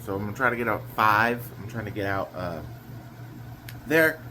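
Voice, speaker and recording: A man's voice: lowish voice, moderate pace, noisy background. A young man chatting casually through his daily vlog plans, a little nasal and rambling with lots of fillers. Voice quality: slightly nasal. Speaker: vlogger.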